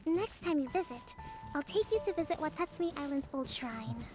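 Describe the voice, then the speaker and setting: A young island leader in a video game, with a high, youthful voice. She is composed and courteous, choosing formal, diplomatic words, with music clearly playing behind her. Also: lively intonation, moderate pace. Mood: polite, composed. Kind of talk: scripted video game dialogue. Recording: background music.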